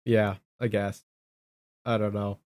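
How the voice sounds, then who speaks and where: Male podcast host, slightly low voice, blunt and dismissive, informal talk. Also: level tone, slow, darkish tone, no echo, studio quality. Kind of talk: casual political chat.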